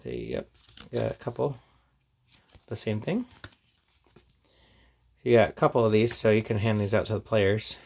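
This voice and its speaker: A man's voice: fairly low pitch, very animated, normal speed, vocal fry, long pauses, darkish tone. A man giving a casual, off-the-cuff product review in an informal, chatty way as he presents. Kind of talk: casual unboxing and review chat.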